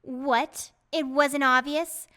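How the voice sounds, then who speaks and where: A woman's voice: high-pitched, fairly even intonation, takes it slowly, pushed and bright, exaggerated voice. A woman voicing a small horned godling girl in an audio drama, with a little childlike voice and sly, menacing taunts.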